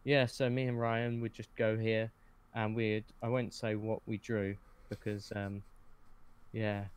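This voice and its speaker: A man's voice: on the low side, level tone, a little slow, clean tone. A man chatting casually as a podcast guest, hesitant and often correcting himself as he goes. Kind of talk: casual conversation.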